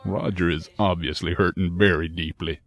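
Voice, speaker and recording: Man acting a deep-voiced, kindly family doctor. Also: steady tone, normal speed. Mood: regretful. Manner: gentle, apologetic. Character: kindly, regretful family doctor, loyal old friend. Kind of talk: soap-opera audio drama acting.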